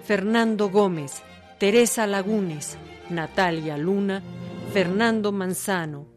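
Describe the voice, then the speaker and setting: A woman's voice: medium pitch, slow pace, soft background music. A woman announcer reading out scripted credits for a radio production.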